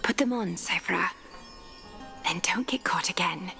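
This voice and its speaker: Wealthy female artisan for a story game: composed, imperious, slow speech over light music. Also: on the low side, gravelly, pushed and bright, full character voice. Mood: poised. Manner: measured, poised, imperious. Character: composed, elegant, shrewd wealthy female master seamstress. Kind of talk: video game story voice acting.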